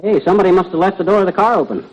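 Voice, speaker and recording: An actor playing a cocky, know-it-all American husband, boastful and wisecracking, in an old radio comedy. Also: male voice, high voice, rapid-fire, dull audio. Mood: cocky, breezy. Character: blustering, stubborn, know-it-all husband, middle-aged man. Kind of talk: 1950s radio comedy acting. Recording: old radio recording, studio audience.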